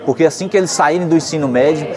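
A young Brazilian man giving an on-camera statement outdoors in a schoolyard, speaking loud and steady into a lapel mic. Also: a little high, racing, poor audio. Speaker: municipal department official. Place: outdoor schoolyard beside a large crowd. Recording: crowd chatter behind.

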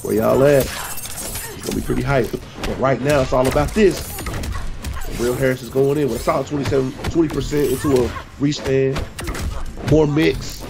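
A man commentating a fighting game stream in casual, excitable slang, sounding nasal from a cold. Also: mid-range voice, normal speed, creaky, background noise. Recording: game music behind the voice.

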